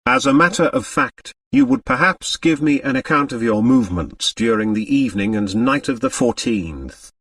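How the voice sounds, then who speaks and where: A man's voice: mid-range voice, sing-song, normal speed, few pauses, a bit bright, no echo, recorded from a distance. A man voicing a polite, formal French examining magistrate who questions carefully, in an audiobook. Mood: probing. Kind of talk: audiobook character dialogue.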